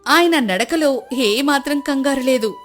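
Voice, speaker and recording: A woman storyteller narrates a scripted folk tale. Her voice sits a little high, and soft music runs behind it. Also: unhurried.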